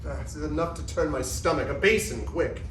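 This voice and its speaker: Heard at a distance in an echoey room, a man plays an old-fashioned moralist. He is indignant and stern in a slightly high voice, reading an ancient stage comedy. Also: moderate pace, a bit bright, close to an everyday voice. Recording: echoey room, distant mic, faint noise.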